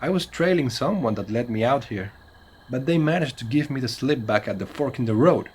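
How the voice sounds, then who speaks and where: An actor playing an earnest, polite but exasperated stranger with a Spanish accent in a comedy audio drama. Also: male voice, medium pitch, animated, fairly quick, darkish tone, a little hiss or hum, natural voice. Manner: polite, slips into Spanish exclamations.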